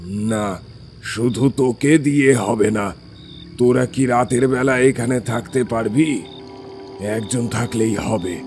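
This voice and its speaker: A man playing a stern, ominous village exorcist in a horror audio drama, solemn, deliberate and full of warning. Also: mid-range voice, a little mellow, faint music behind, lightly acted.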